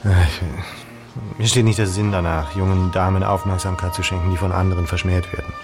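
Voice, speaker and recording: Haughty low-voiced young gentleman. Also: flat delivery, fairly quick. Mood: disdainful, irritated. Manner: haughty, curt. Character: proud, disdainful young gentleman, dismissive of company. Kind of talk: German radio drama acting. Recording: music behind the voice.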